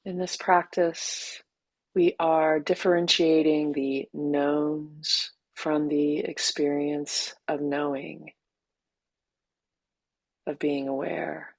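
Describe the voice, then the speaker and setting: A woman teacher leading a guided meditation in a gentle, unhurried way, leaving long pauses between short phrases. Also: deep voice, little pitch movement, gravelly, dry recording, rough recording.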